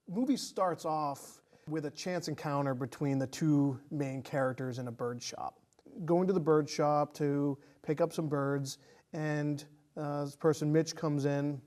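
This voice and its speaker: A man's voice: a little high, a little mellow. A man speaking as a podcast guest, chatting casually about films.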